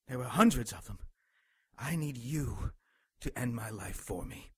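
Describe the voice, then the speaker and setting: A man's voice: mid-range voice, lively intonation, measured pace, darkish tone, slightly rough. A man playing a dying, bitter, pious monk in a radio play, stern and fatalistic. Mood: weary, pleading. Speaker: radio drama actor. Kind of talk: dramatic radio play storytelling.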